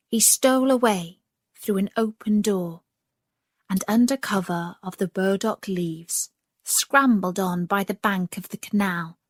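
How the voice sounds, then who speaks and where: A woman narrating a scripted children's story in a measured, expressive manner. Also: mid-range voice, no echo, clean studio sound.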